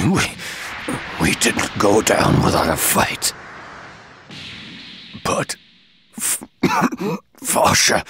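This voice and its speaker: An actor playing a badly wounded alien warrior in an anime game, straining raspily to speak in broken, gasping phrases. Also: male voice, medium pitch, bright, forceful tone, slight background noise, heavily acted. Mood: pained. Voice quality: raspy, strained. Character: badly wounded, loyal male alien warrior, near death. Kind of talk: dramatic dubbed anime game acting. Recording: music under the voice. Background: music.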